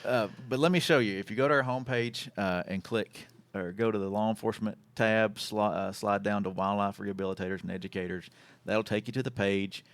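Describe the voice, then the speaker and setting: A man's voice: on the low side, steady tone, brisk, hardly any pauses, dry recording. A man hosting a casual radio and podcast chat in a folksy, plain-spoken, informal way. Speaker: show host.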